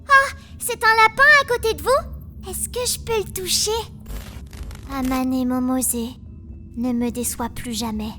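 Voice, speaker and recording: Anime dub child prisoner, girl, twelve: prim, righteous, high bright voice over music. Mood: cold, threatening. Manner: crisp, formal, self-righteous. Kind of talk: French anime fan dub monologue.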